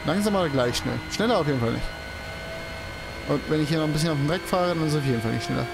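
A man's voice: fairly high pitch, animated, rapid-fire, gravelly, background noise. A young man rambling casually while commentating a racing game, swearing and exclaiming at the track. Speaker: let's play host. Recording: game music playing behind the voice.

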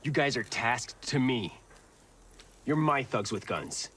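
Slow-talking, smug military handler. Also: male voice, mid-range voice, a bit bright, slight background noise. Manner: dry, condescending. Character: smug, frustrated handler ordering hired gunmen around. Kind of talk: video game cinematic acting.

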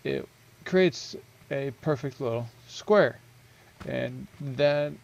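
A man's voice: mid-range voice, drawn-out words, gravelly, darkish tone, lo-fi sound. A young man casually walking through a game build he made, rambling and correcting himself with many ums, into a headset mic at home. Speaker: gaming video creator.

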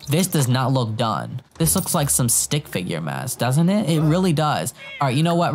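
Young man, sick with a cold, sore throat, chatty gaming commentary, headset mic, faint background music. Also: medium pitch. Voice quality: voice sounds a bit off from illness. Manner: chatty, excitable, talks straight to viewers. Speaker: gaming YouTuber. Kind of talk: casual let's-play commentary.

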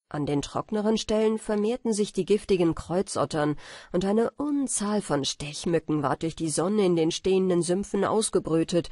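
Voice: mid-range voice, a little mellow, no room sound, clean studio sound. An audiobook narrator reading a fairy tale as a scripted bedtime story.

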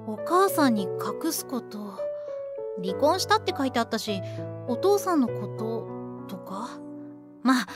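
A woman's voice: on the high side, sing-song, unhurried. A woman playing a friendly, sweet young woman in an audio drama, speaking in a casual, girlish and direct way. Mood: curious, thoughtful. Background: background music.

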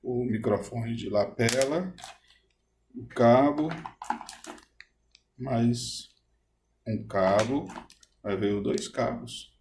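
A middle-aged man with a Brazilian accent presents a product in casual vlog style. He is indoors, picked up quietly by a phone a little way from him. His voice is of average pitch. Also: extremely slow, long pauses, slight background noise. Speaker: vlogger showing a new camera. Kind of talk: casual unboxing chat. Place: indoors, room at home.

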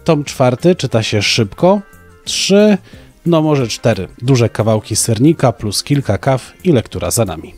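A man's voice: medium pitch, lively intonation, measured pace, darkish tone. Young man reviewing books over music. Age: young adult. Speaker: podcast co-host reviewing books. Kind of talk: prepared podcast book review. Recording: music playing behind the voice. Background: background music.

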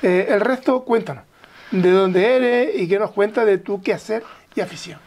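Woman interviewer, medium-pitched voice. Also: moderate pace, darkish tone. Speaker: interviewer, show host. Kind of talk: conversational interview.

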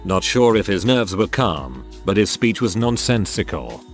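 A man narrating online posts in an even, low-key reading voice. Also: unhurried, faint music behind. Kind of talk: scripted narration.

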